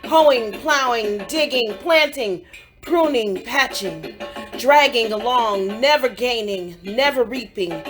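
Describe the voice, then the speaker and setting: A woman reciting poetry in a solemn, dramatic style, with deliberate, measured phrasing and pauses between short phrases. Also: on the high side. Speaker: poetry reader. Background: African drum music.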